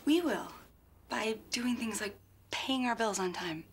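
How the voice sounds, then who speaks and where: An actress playing a gentle, matter-of-fact woman correcting someone in an ad sketch. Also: fairly high pitch, animated, unhurried, slight background noise.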